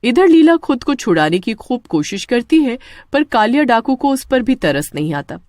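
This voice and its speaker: Female storyteller: lively, swooping intonation, scripted narration, very dry close recording. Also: a little high, moderate pace, dry recording.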